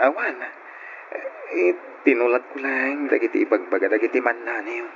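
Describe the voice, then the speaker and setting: A man's voice: mid-range voice, very animated, slow, a bit bright, heavily acted. A man acting a romantic, teasing young husband in an old Ilocano radio drama. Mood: teasing, tender. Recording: muffled, noisy old radio recording.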